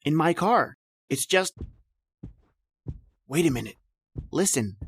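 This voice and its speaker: A man's voice: on the high side, expressive, takes it slowly, slightly rough, no echo, clean studio sound, heavily acted. A man playing a tense, accusing husband under suspicion in an old-time radio style horror drama, delivered in a confrontational way. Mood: tense, conspiratorial. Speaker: actor in an audio drama.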